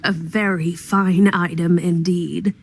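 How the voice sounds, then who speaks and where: A woman's voice: fairly low pitch, steady tone, slow pace, creaky, exaggerated voice. An actress playing a courteous, sly older woman who keeps a cluttered curio shop, in a genteel, coaxing way for a video game. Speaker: shopkeeper.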